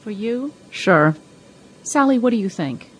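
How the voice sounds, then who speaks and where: An actress playing a no-nonsense, worried travel companion in an audio drama, with a serious, chiding manner. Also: female voice, medium pitch, animated, unhurried, slightly rough, poor audio. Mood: questioning.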